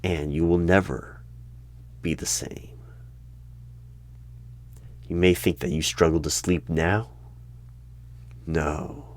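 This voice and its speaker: A man in an ASMR roleplay as the stern hiring manager of a secret bureau, with deadpan questioning that breaks into sudden loud bursts and whispers. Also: low voice, slow, pauses often. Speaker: interviewer in a roleplay.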